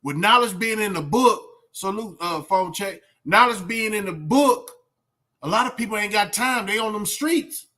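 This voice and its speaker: A man's voice: sits high, expressive, normal speed, bright, forceful tone. A man with a Southern US accent giving casual reaction commentary in a colloquial, emphatic way, full of rhetorical questions. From southern United States. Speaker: YouTube reaction host.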